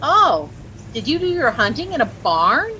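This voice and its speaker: An actress with an American accent playing a chirpy, easily dazzled young housewife who gushes and lightly scolds in a 1940s radio comedy. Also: female voice, high-pitched, big pitch swings, a little slow, sharp tone, full character voice. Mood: curious, teasing. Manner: gushing, breathlessly admiring, lightly scolding. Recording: noisy old-time radio recording.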